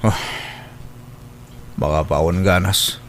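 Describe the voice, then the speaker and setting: A man's voice: low voice, fairly even intonation, drawn-out words, fairly bright tone, slight background noise, heavily acted. A man playing a bitter, stubborn and weary grown son with an Ilocano accent in a radio drama. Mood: sullen, resigned. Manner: sullen, dismissive.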